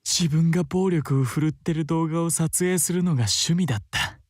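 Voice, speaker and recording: A man's voice: on the high side, very fast, no echo, studio quality, close to an everyday voice. Man playing an intense, obsessive young man. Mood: dark, confessional. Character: troubled young man, obsessive, craving violence. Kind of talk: Japanese visual novel acting. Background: soft game music.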